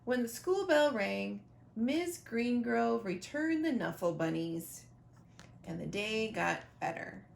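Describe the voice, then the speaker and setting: Animated, slow-paced woman reading a storybook. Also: fairly high pitch, gravelly. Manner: expressive, talks directly to young listeners about the pictures. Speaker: librarian or teacher reading a picture book aloud. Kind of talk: animated children's storybook read-aloud with asides. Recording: roomy echo, faint background noise.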